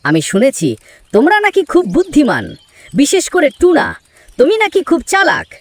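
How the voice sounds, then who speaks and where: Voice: high-pitched, wildly swooping pitch, moderate pace, dry recording. An actor plays a crafty male fox from a children's cartoon. The delivery swoops dramatically up and down, wheedling and falsely sweet, with theatrical mock friendliness. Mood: slyly challenging. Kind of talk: children's cartoon story dialogue.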